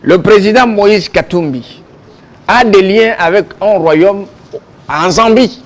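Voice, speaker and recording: A man with a Congolese French accent speaks as a political guest in a televised debate. His voice is notably high for a man. He argues insistently, stressing and repeating his points. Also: slow. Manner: insistent, argumentative, emphatic, repeating himself. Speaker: political guest, youth league president. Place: television studio.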